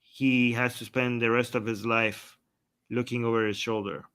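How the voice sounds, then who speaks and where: A man hosting a casual news discussion, talking in a conversational, halting way with frequent restarts. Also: medium pitch, level tone, fairly bright tone, smooth. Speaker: show host.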